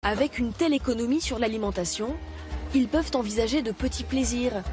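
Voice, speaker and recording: A woman reading scripted voice-over narration for a television documentary report, in a measured, even delivery. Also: a little high, over music.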